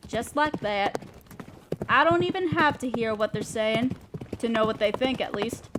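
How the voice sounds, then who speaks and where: A gentle, doting centaur woman, as a woman acts her out speaks in a high voice with a soft Southern lilt. She fusses over wounds and drifts into apologetic little rambles. It's a fantasy audio roleplay. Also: background noise, lightly acted. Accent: Southern US, put on. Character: kind gentle female centaur traveler and healer. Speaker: caretaker tending wounds.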